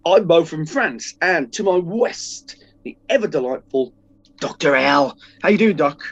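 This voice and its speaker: Stuffy-nosed guy chatting on a podcast, kinda high voice, dull lo-fi mic, keeps tripping over his words. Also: animated, measured pace. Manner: rambling, stumbling restarts. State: congested with a cold. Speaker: podcast co-host. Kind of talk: casual chat.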